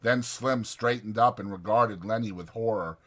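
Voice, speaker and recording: A man's voice: fairly low pitch, moderate pace, a bit bright. A man narrating a novel as a dramatized audiobook.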